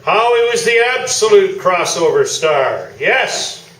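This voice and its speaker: A man's voice: high voice, very animated, slow, fairly bright tone, a little hiss or hum, exaggerated voice. A man playing a pompous, self-assured old-school sports columnist in a comic radio play performed live. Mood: proudly boastful. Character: pompous, partisan old-school male sports columnist and hall of fame chairman. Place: small theatre, live performance. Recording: roomy theatre stage, distant mic.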